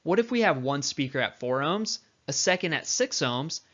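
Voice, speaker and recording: A man with a somewhat higher-than-average voice delivers scripted instructional narration. He explains step by step, like a course instructor. The recording is a bit dull, lacking some top end. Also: very animated, moderate pace, sharp tone.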